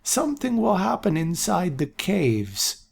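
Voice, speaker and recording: A doddering old seer who loses track of his thoughts, pitched well above the narrator's own voice. His rasp is gravelly and husky, and his words come out slowly and vaguely. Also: wildly swooping pitch, darkish tone, full character voice. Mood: muddled. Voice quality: raspy. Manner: slow, vague, repeats himself. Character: doddering old male seer, confused and forgetful. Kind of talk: audiobook character voice.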